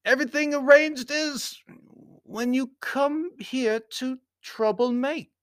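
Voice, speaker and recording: High-pitched, pompous Baron. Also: male voice, slow pace, a bit bright, dry recording, exaggerated voice. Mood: stern, accusing. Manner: stiff, formal, inverted word order. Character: big bearded foreign Baron, stiff, formal, pompous loyalist envoy.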